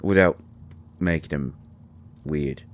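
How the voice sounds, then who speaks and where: Gruff folksy male character, acted by a man, bass-low and slow, stammering, for intimate roleplay audio drama. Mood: defensive, glum. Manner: halting, stammering, folksy colloquial speech. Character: gruff, folksy, flustered man comforting a vampire guest.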